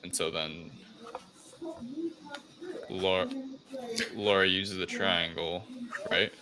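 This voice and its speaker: A man's voice: sits low, steady tone, slow, bright, forceful tone. A man co-hosting a podcast, recapping a movie in casual chat. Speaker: podcast co-host.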